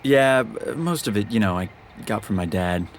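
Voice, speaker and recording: A man's voice: on the low side, expressive, normal speed, a little hiss or hum, natural voice. A man playing an awkward, sardonic, bookish teenage boy in a radio drama. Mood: hesitant. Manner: hesitant, nervous, sardonic.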